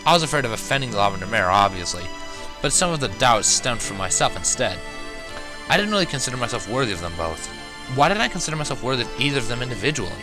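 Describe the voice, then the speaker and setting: A man's voice: medium pitch, big pitch swings, fast talker, music in the background. A man narrating a first-person fan fiction story in a wry, dramatic manner.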